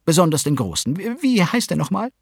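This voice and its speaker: A man's voice: sits high, sing-song, fairly quick, vocal fry, slightly rough, dry recording, studio quality, pitched above the performer's own voice, heavily acted. A man voicing a cold, self-absorbed mother who talks in a fussy, disdainful way.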